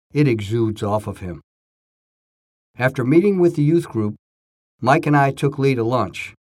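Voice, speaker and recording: A man's voice: mid-range voice, a little mellow. Man narrating an inspirational true story.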